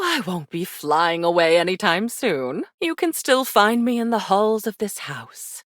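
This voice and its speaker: A woman's voice: on the low side, dry recording, clean studio sound. Theatrical, swooping, darkly elegant lady. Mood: pleased, gracious. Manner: arch, drawling, teasing endearments. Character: darkly elegant, theatrical woman, living embodiment of memories. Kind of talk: video game character acting.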